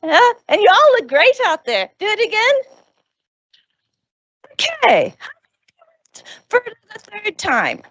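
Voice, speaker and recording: A woman's voice: extremely high pitch, no echo. A woman leading a live orientation session, coaxing the audience through an activity on a handheld mic in a lecture venue. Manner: lively, animated, coaxing the audience; says she has a very powerful voice. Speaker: head of learning and teaching.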